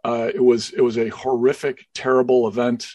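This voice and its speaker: A man's voice: medium pitch, level tone, moderate pace, mellow, no room sound. A man hosting a podcast interview, often repeating his first words as he starts a sentence. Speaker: podcast host.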